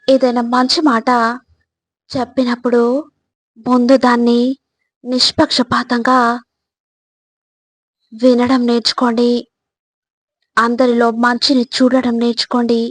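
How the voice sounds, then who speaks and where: Slow, even-toned woman narrating motivational advice. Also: on the high side, no room sound.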